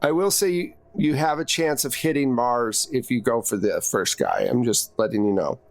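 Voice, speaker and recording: A man with a mid-pitched voice runs a tabletop horror game as game master. He narrates the action straight to his players in second person and drops in casual, conversational asides. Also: lively intonation, normal speed.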